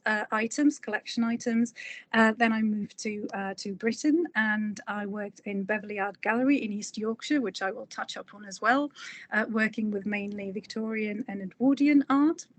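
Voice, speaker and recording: A woman's voice: medium pitch, fairly even intonation, normal speed. A woman from the Republic, a curator giving a prepared but informal talk in an enthusiastic, effusive manner. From Czech Republic.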